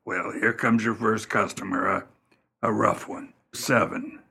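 A man plays a nervous caretaker in a radio play with a low, raspy voice. His speech is fussy and halting, stumbling over repeated words, with a sharp, forceful edge. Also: fairly even intonation, heavily acted. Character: fussy, anxious, hesitant residential caretaker.

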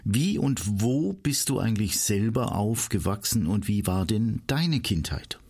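A man's voice: on the low side, big pitch swings, unhurried, a little mellow, breathy edge. A man hosting a radio show, interviewing a guest in a studio.

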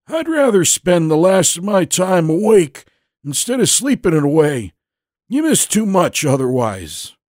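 Frail, high-pitched old man. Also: sing-song, darkish tone, dry recording, studio quality. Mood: resolute. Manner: slow, drawn-out, old-fashioned courtesy. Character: elderly frail Vietnam veteran man, folksy and unhurried job applicant.

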